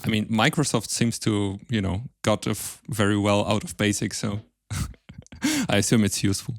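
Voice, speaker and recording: A male podcast host in a dry, dead-quiet recording spot, feeling his way through questions to a guest. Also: on the low side, level tone, normal speed, creaky, dry recording. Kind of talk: conversational interviewing.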